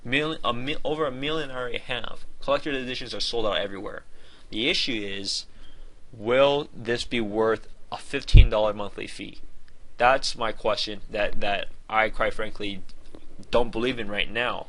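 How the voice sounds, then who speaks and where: A man's voice: on the low side, moderate pace, a bit bright, rough recording. A man giving an informal game review in a conversational, opinionated and emphatic way.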